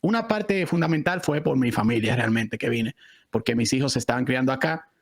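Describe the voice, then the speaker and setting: Fast-talking Dominican man, dry close sound. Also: medium pitch, no room sound. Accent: Dominican Spanish. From Dominican Republic. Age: around 40. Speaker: podcast guest, real estate investor. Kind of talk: conversational interview.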